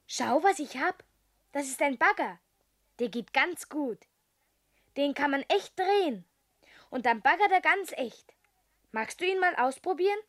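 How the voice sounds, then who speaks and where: A woman's voice: high voice, lively intonation, unhurried, pauses often. A woman voicing a small, stubborn girl in a children's radio play, childish and bossy. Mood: proud.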